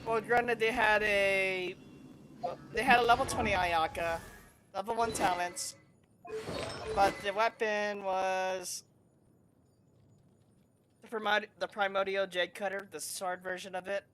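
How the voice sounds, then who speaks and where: A man's voice: high voice, steady tone, measured pace, pauses often, background noise. A man giving casual gameplay commentary as a streamer, rambling and thinking aloud. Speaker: game streamer. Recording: game music behind the voice. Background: video game music.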